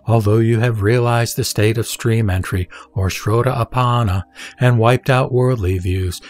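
A man reads scripture as a scripted narration, solemn and deliberate in his delivery. His voice sits at a middle pitch, with music playing behind him. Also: creaky, darkish tone. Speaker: sutra reciter. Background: background music.

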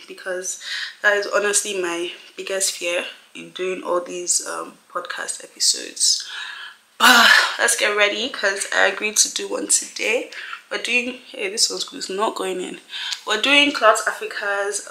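A woman's voice: mid-range voice, sharp tone, reverberant, slight background noise, low-quality recording. A young woman, chatting casually to a handheld camera at home in a vlog, full of "like" fillers. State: sick, congested. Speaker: vlogger. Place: bedroom and apartment at home. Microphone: handheld camera at arm's length.

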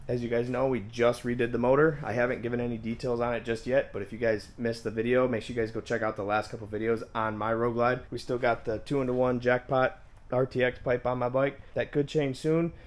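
A hoarse man from Michigan talks casually to his viewers about his motorcycle, his voice worn from illness. He keeps an upbeat, chatty tone and keeps calling the audience guys. His pitch sits mid-range for a man. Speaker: motorcycle vlogger. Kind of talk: casual vlog narration to camera. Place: home garage, then outdoors at a shop lot.

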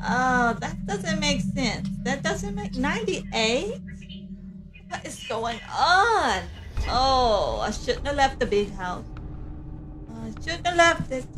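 A woman's voice: high-pitched, big pitch swings, takes it slowly, exaggerated voice. A woman dubbing a dazed, scatterbrained young female fighter in a comedy game fan dub, blurting silly non sequiturs. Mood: dazed, puzzled.

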